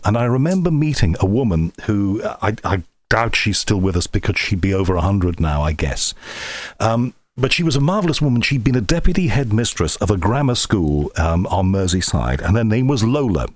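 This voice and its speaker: Low-voiced Liverpudlian man, chatty host. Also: sing-song, no echo. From England (Liverpool). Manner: chatty and reminiscing, quick asides. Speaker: podcast host and broadcaster. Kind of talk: conversational interview.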